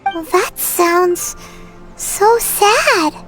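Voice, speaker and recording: Squeaky, tiny, excitable fairy companion. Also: female voice, very slow, faint music behind, full character voice, rough recording. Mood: bemused. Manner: cutesy, refers to itself in third person. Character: tiny childlike female floating fairy companion, curious and excitable. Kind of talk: video game cutscene acting.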